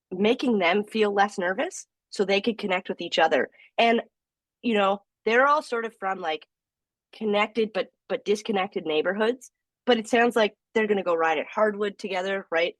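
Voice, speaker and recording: A woman co-hosting a podcast with a cold that leaves her voice deeper and gravelly, telling lively stories. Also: no echo. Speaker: podcast co-host. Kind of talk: casual podcast chat.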